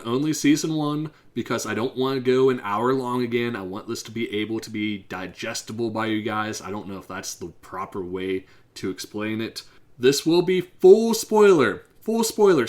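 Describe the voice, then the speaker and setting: A man with a Pittsburgh accent hosts his solo podcast, his voice hoarse and worn from a lingering cough. He talks in an unplanned, rambling way and keeps slipping into rushed bursts. His voice sits at an ordinary middle pitch. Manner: rambling and unscripted, drifts into fast talk. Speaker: podcast host. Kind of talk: casual solo podcast monologue.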